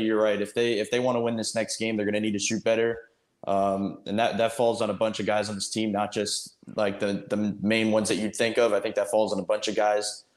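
A man giving casual sports analysis on a podcast, hesitant and circling back on his points, in a roomy recording. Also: fairly low pitch. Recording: roomy, echoing pickup.

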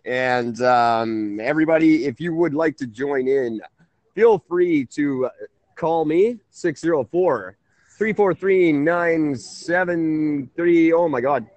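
A man's voice: on the high side, takes it slowly, a little mellow, dry recording. A man in his thirties hosting a casual call-in radio talk show, rambling and correcting himself. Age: 30s. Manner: rambling, chuckling, self-correcting.

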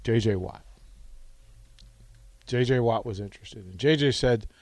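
A man hosts a casual radio show in a middle-pitched voice. He talks conversationally and likes to repeat a phrase to drive it home. The studio sound is dry. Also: measured pace, a little mellow, dry recording. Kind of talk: casual chat.

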